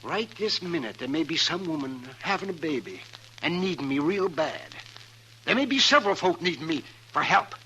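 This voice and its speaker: An indignant, principled American country doctor in fifties radio drama. His high voice is animated and emphatic, with a testy, folksy manner and a sharp edge. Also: normal speed. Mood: indignant, defiant. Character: crusty, indignant, principled male frontier town doctor. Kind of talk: 1950s radio western acting. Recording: noisy old radio recording.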